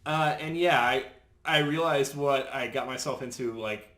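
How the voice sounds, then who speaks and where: A man's voice: mid-range voice, normal speed, a bit bright. A man talking casually about movies as a panelist on a podcast. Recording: roomy, echoey sound.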